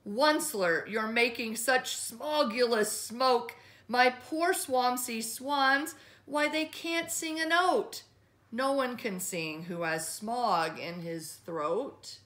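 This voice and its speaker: A woman voicing a grumpy small male forest creature with a croaky, scolding manner, in an echoey room. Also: fairly high pitch, takes it slowly, a bit bright, pitched above the performer's own voice. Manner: scolding, indignant.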